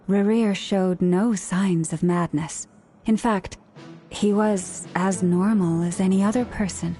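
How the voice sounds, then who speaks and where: A woman playing a calm, serious leader in a video game, speaking in a measured, composed way. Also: medium pitch, lightly acted. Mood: explanatory.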